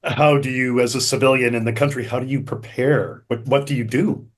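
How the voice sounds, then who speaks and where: Guy hosting a chat on a video call, conversational, listens closely, mid pitch. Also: steady tone, brisk. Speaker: interview host. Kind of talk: conversational interviewing.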